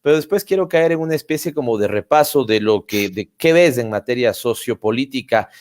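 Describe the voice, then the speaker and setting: A man's voice: mid-range voice, animated, a little fast. A man hosting a political talk show, who talks in a conversational way with many "eh" fillers. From Ecuador. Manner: warm conversational, with frequent "eh" fillers. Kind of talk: interviewing a guest.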